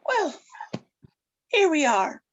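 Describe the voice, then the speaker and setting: A woman's voice: high-pitched, sing-song, drawn-out words, full character voice. A woman playing a wary, commanding witch grandmother at a dramatic table read, composed, formal and pointed. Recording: slightly dull, lo-fi recording.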